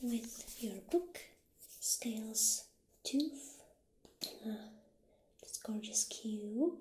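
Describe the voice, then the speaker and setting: A woman speaking in a gentle, hushed ASMR roleplay style, partly whispering, as an obliging witch shopkeeper addressing a customer. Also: fairly high pitch, animated, extremely slow. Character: soft-spoken witch shopkeeper, calm and obliging.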